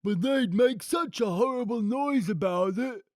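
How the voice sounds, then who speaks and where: A woman voicing a dim, reluctant, lazy male henchman in a storybook, with a dopey, drawn-out delivery. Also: on the high side, animated, darkish tone, no room sound, voice pushed up. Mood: dopey objecting.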